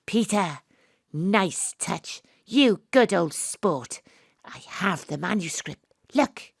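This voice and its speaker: A woman's voice: on the low side, expressive, slow, vocal fry, slightly rough, dry recording, studio quality, exaggerated voice. A woman playing a plucky, self-assured investigator, narrating her adventure as dramatic first-person storytelling in an audio drama. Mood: excited, breathless pace. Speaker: lead narrator.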